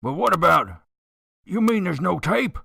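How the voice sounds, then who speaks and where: A man's voice: high voice, animated, a little slow, gravelly, sharp tone, slightly rough, dry recording, clean studio sound, full character voice. A man playing a guilty airport mechanic in a radio drama, hesitant, evasive and indignant when accused. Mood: shocked, incredulous. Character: guilty airport mechanic, defensive killer cornered into confessing. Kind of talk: amateur radio drama acting.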